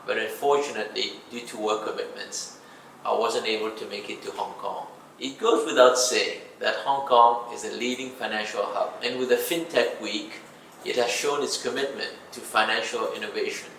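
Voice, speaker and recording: A man's voice: on the low side, steady tone, normal speed, fairly bright tone. A man delivering a prepared corporate speech as a company executive. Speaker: bank chief executive. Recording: gravelly playback in echoey, noisy room, distant.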